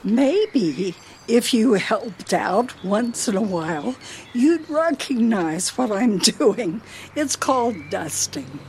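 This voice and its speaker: A woman's voice: on the high side, lively intonation, slow, a little mellow, slight background noise. A woman playing a feisty elderly wife in a radio play, teasing and needling her husband theatrically.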